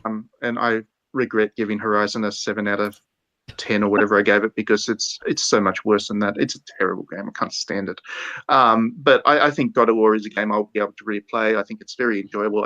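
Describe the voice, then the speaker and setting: A man's voice: on the low side, fairly even intonation, a little fast, fairly bright tone, dull audio. A man hosting a podcast in a casual, conversational discussion style. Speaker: podcast host.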